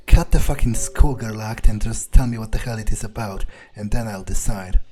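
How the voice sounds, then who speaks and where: A man with a mellow, dark voice plays a video game in character, thinking aloud. His improvised lines are blunt and halting, over faint game music. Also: fairly low pitch.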